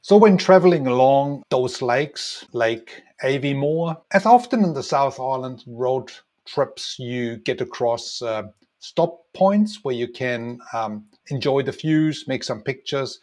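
A man's voice: mid-range voice, slow pace. Middle-aged male travel guide, casual explainer with frequent fillers, home webcam recording. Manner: relaxed, many ums and uhs, explaining. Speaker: tour guide and travel designer. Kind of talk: casual map walkthrough narration.

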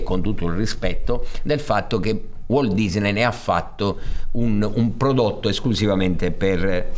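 A man, introducing a show to an audience in an explanatory, discursive way with pauses. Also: on the low side. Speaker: theatre director introducing a storytelling show. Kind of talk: spoken introduction to an audience, partly read. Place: indoor theatre stage.

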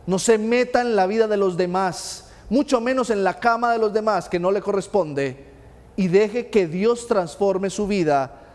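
A man in his thirties, a friar, preaching a homily in a small chapel, earnest, addressing listeners with direct questions and dropping low on key phrases. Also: a little mellow. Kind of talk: sermon. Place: small chapel with stained glass. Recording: long chapel reverb.

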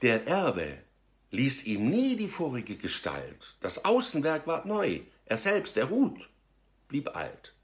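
Theatrical middle-aged man reading, very animated intonation. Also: mid-range voice. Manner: theatrical, deliberate, with emphatic pauses. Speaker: storyteller reading aloud. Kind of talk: dramatic literary reading of a verse fable. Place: small room at home, indoors.

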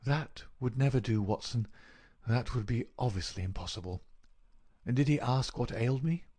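A man voicing an ailing male detective in an audiobook, feeble but lucid, crisp and masterful. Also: mid-range voice, normal speed, darkish tone, natural voice. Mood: crisp, weak. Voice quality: feeble, slightly raised. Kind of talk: audiobook character voice.

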